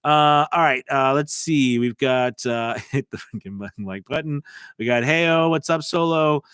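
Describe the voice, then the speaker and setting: An American man talks through a live stream, coaching viewers and reading their chat messages aloud in a loose, rambling flow full of restarts and fillers. His voice sits at an ordinary middle pitch. The sound is dry and close, with almost no room around it. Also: measured pace, a bit bright, clean tone, dry recording. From United States. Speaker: host of a live voice-over business stream.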